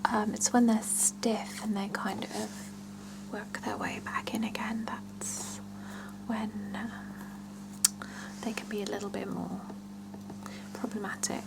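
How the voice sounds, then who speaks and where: A woman performs an ASMR roleplay as a salon attendant, speaking to her client in a breathy whisper that slips into soft voicing. Her delivery is very slow, gentle and reassuring, with murmured pauses and a creaky edge. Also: mid-range voice, little pitch movement, poor audio. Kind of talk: ASMR roleplay, one-sided chat with a client.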